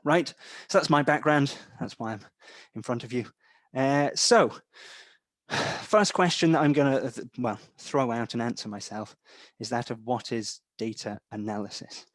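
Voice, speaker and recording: A young man with a mild Scottish accent, softened by English parents, giving a chatty instructor's talk full of ums and a habit of asking "right?". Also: mid-range voice, moderate pace, no echo. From Scotland. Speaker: data analytics instructor. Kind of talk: webinar-style lecture.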